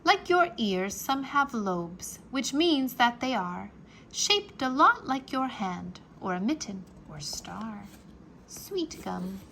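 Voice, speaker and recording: A woman reading a children's book aloud like a teacher, expressive and carefully enunciated for young listeners. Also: fairly high pitch, slow pace, gravelly, slight background noise. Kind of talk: children's read-aloud narration, rhyming nonfiction.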